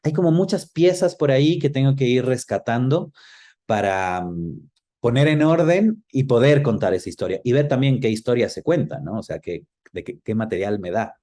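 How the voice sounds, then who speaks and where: Man, soft-edged mellow tone, average pitch, reflective interview guest, thoughtful rewording. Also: expressive, normal speed. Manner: thoughtful, searches for words and rephrases.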